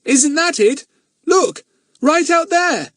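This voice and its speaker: A man voicing an eager schoolboy who exclaims excitedly. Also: high voice, normal speed, no echo, clean studio sound, pitched above the performer's own voice.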